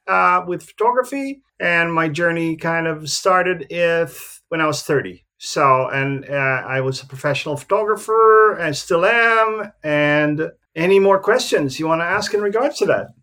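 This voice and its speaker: A man in his fifties who grew up in the Philippines, a photographer talking about his career in a relaxed, rambling way with many fillers. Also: on the high side, unhurried, few pauses. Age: 50s. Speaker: guest, professional photographer. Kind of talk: casual interview talk.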